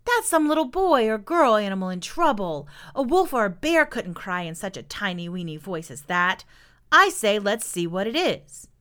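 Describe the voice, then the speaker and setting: A woman's voice: mid-range voice, sing-song, moderate pace, a bit bright, clear, resonant tone. A woman voicing a curious, eager young beaver boy in a children's story, exclaiming and insisting. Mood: eager, persuading.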